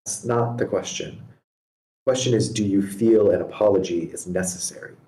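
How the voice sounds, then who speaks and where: Guy reading a stern patient mediator, low mellow voice, measured firm questions, roomy echo. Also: natural voice. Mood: probing. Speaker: amateur actor reading a part. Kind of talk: screenplay table read, dramatic dialogue. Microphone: online meeting call. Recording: roomy, echoing home microphone.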